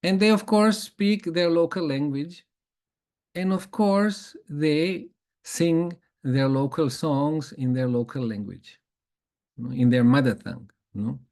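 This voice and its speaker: A man's voice: a little high, measured pace, vocal fry, darkish tone. Man, conversational. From Germany. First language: Bosnian. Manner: conversational, chuckles often, frequent tag questions. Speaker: spiritual teacher. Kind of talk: informal spiritual talk.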